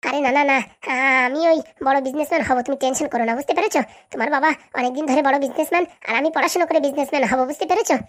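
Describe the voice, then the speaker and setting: A woman's voice: high voice, rapid-fire, hardly any pauses, close to an everyday voice. A woman voicing an evasive, reassuring young student boyfriend in a comedy cartoon, chatty and brushing off objections. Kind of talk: Bangla comedy cartoon dialogue.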